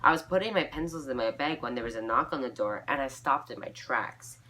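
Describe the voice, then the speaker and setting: A young woman with a very deep, bright voice reads a story aloud in an informal way. She is giggly and self-mocking, slipping out of the reading into casual asides. There is faint noise behind her. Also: lively intonation, a little fast, low-quality recording. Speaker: vlogger reading own fan fiction aloud.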